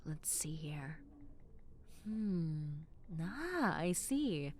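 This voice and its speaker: A young adult woman voicing a kind, caring dentist in an audio roleplay, speaking softly and reassuringly, often dropping into a whisper, with a playful, teasing edge. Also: deep voice, big pitch swings, drawn-out words, a little hiss or hum, heavily acted. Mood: soothing. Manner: soft, gentle and reassuring, slipping into whispers; playful, with frequent soft giggles. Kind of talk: ASMR roleplay.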